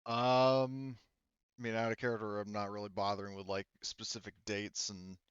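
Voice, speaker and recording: A man's voice: fairly low pitch, flat delivery, normal speed, smooth, dry recording. A man game-mastering a tabletop roleplaying game in an informal, rambling way full of asides, on a slightly muffled recording.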